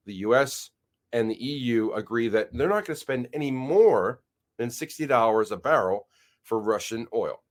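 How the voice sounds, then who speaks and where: A man hosting his own channel, talking casually through news while sharing his screen. He sounds a little nasal from a head cold and often stops and restarts his sentences. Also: mid-range voice. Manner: rambling, halting restarts. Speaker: channel host. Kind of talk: casual screen-share commentary.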